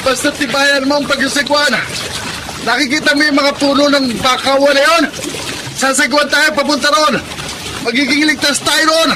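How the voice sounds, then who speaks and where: An actor playing an earnest, guilt-ridden young elder brother in a Filipino radio drama, pleading emotionally. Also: male voice, very high-pitched, steady tone, normal speed, noisy background. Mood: urgent.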